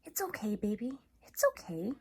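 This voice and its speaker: A woman's voice: mid-range voice, sing-song, moderate pace, slightly rough. A woman reading a storybook aloud, voicing an earnest, caring young daughter in an eager, tender manner. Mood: soothing.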